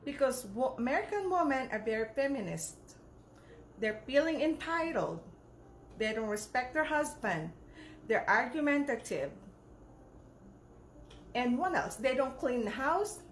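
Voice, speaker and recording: Filipino woman, Filipino accent, emphatic scolding rant, high voice, roomy echo. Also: very animated, unhurried, pauses often, a little hiss or hum. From Philippines. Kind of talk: ranting video reply.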